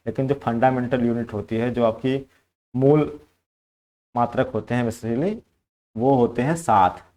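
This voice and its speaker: A man's voice: mid-range voice, darkish tone. A man with an Indian accent teaching in an explanatory, instructive way, walking through exam questions and switching between two languages. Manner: instructive, code-switches between Hindi and English.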